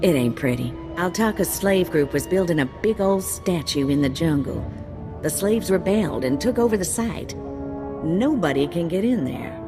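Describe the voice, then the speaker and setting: A woman's voice: lowish voice, lively intonation, normal speed, natural voice. A woman voicing a shrewd, folksy bounty handler who briefs a hunter in plain slangy speech. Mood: briefing. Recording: game audio with music.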